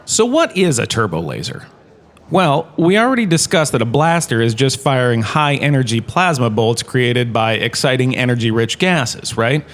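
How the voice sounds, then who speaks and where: An actor playing a self-satisfied, washed-up performer turned university lecturer, chatty and digressive in a comedic sci-fi audio drama. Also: male voice, mid-range voice, very animated, normal speed, fairly bright tone, a little hiss or hum. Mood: instructive, self-assured.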